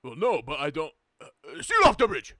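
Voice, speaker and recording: A man playing a flustered, anxious alien viceroy with halting delivery in a comedic script read. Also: fairly high pitch, big pitch swings, bright, forceful tone, no room sound, studio quality, heavily acted. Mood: panicked.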